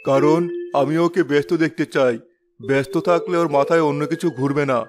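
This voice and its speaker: A man playing an anxious, protective middle-aged king in an animated fairy tale, speaking formally and with authority. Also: a little high, a little fast, soft background music, natural voice. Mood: worried.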